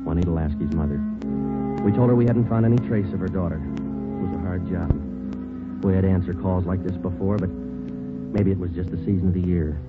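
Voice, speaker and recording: Clipped deadpan radio detective, low voice acted. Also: male voice, fairly quick, close to an everyday voice. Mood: matter-of-fact. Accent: American. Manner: clipped, deadpan, matter-of-fact. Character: stoic male police sergeant detective narrating his case. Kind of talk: 1940s radio detective drama acting and narration. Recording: muffled 1940s radio recording with music.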